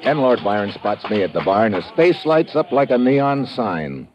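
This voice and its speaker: An actor playing a wry, slangy investigator in an old-time radio detective drama. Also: male voice, medium pitch, big pitch swings, normal speed, close to an everyday voice. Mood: wry, tense. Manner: laconic, wisecracking, slangy. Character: wry hard-boiled American investigator, adult man. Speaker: lead actor and narrator. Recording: muffled old radio recording, music behind.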